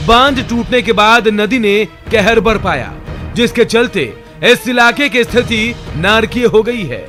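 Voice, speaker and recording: Man, scripted news narration, high pitch, clear music bed. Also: unhurried, bright, forceful tone. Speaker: news voice-over reporter.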